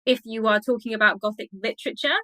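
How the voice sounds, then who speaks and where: Bright, high-voiced woman explaining informally. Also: quick pace, no room sound. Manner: chatty, colloquial, explaining in loose lists. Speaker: academic guest, literature researcher. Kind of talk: informal explanatory interview talk.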